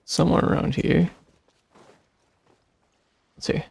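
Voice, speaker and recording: A man doing casual, offhand gameplay commentary, muttering to himself in a raspy, creaky voice. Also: slow, a little mellow, no room sound. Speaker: game streamer.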